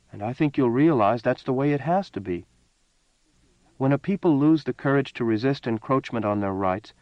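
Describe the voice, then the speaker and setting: A man voicing a composed woman who calmly turns down a plea, with a raised, altered voice. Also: medium pitch, no room sound, highs cut off, voice pushed up, natural voice.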